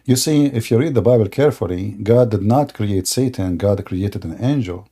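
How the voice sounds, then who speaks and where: A man, hosting a live-streamed religious debate and explaining slowly with many fillers and restarts. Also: fairly low pitch, dark tone. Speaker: debate host.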